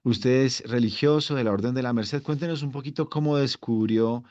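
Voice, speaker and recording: A man's voice: mid-range voice, normal speed, darkish tone, no room sound. A man hosting a formal interview, courteously introducing his guest and asking questions over a video call. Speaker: interviewer, host. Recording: dry, dull lo-fi call audio.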